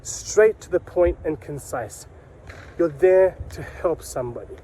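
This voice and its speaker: A man's voice: on the high side, expressive, measured pace, warm tone, husky, low-quality recording. A man giving an instructional talk to camera as a sales coach, speaking emphatically with repeated key phrases and rhetorical questions. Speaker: sales coach and video host.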